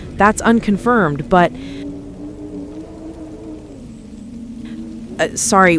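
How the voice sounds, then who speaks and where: A woman's voice: on the low side, noisy background, close to an everyday voice. A woman playing an uneasy TV field reporter giving serious live reports from an emergency scene. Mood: tense, hesitant. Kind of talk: live on-location news report.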